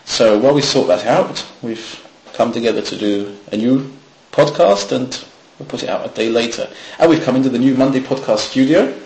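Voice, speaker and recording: A man's voice: mid-range voice, fairly quick, creaky, darkish tone. A man hosting a casual podcast, speaking in a loose, upbeat manner. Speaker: podcast host. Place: small podcast studio. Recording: echoey small room, faint hiss.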